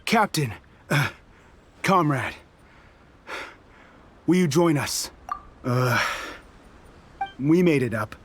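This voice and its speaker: An actor playing an embittered, defiant young resistance warrior in an anime game, speaking with emphatic passion. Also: male voice, a little high, lively intonation, drawn-out words, long pauses, a little hiss or hum. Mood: hesitant, confessing. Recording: game music behind the voice.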